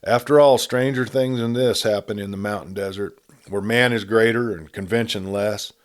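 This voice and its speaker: A man reading a western tale as an audiobook narrator, in an expressive, measured, dramatic storytelling style. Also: on the low side.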